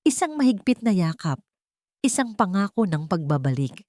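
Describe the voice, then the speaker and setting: A woman's voice: mid-range voice, very animated, normal speed, darkish tone, no room sound, clean studio sound. A woman narrating a scripted, dramatic love story as a storyteller.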